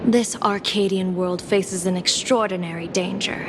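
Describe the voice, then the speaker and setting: A woman playing an enigmatic, playful female general and fortune-teller in a video game, speaking formally in measured, theatrical phrases. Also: medium pitch, steady tone, noisy background, close to an everyday voice. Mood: composed, portentous. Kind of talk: scripted video game voice acting. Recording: loud music behind the voice. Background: game music.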